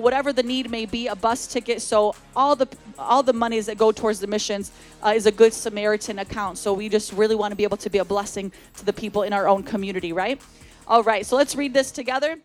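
A woman leads the offering at a church service and prays with fervent repetition. Her voice sits a little high. The sound is close and dry, with faint music underneath. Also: no echo. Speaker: church minister leading the offering. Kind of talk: offering talk and prayer.

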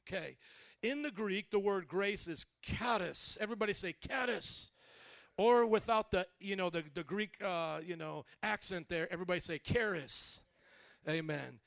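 High-voiced preacher man, call and response. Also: creaky, fairly bright tone, no echo. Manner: slows and stretches words for emphasis. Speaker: pastor. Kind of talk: sermon.

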